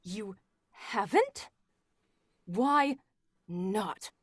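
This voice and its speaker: A woman's voice: medium pitch, animated, extremely slow, breathy edge, dry recording, clean studio sound, full character voice. A woman playing a scheming, coaxing, greedy young wife in a theatrical 1940s-style radio horror play.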